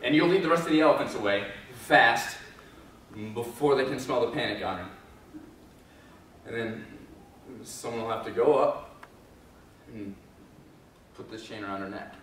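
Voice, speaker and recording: A man's voice: medium pitch, normal speed, pauses often, a bit bright, lightly acted. A man playing a bitter, commanding elephant trainer with grim duty, intense and emphatic in a stage drama. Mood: grim, resigned. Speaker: actor in a student readers theater group. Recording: very echoey hall, distant mic, faint noise.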